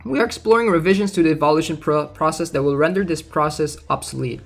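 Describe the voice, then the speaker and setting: A man streaming casually about a game, reading aloud and thinking out loud in a hesitant way with plenty of filler words. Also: a little high, fast, vocal fry, soft background music. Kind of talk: casual livestream commentary, reading aloud and reacting.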